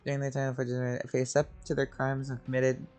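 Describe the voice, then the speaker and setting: A woman's voice: rumbling low, monotone, a little fast, a little mellow, soft background music. A woman giving casual, informal game playthrough commentary, muttering reactions as a streamer.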